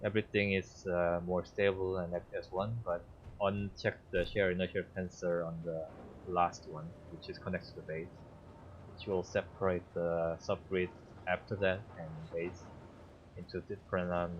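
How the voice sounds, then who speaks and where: Casting note: man with a very low voice, Korean as his first language, halting gameplay commentary full of fillers, dull lo-fi mic. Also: slight background noise. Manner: halting, thinking aloud with many fillers. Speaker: streamer.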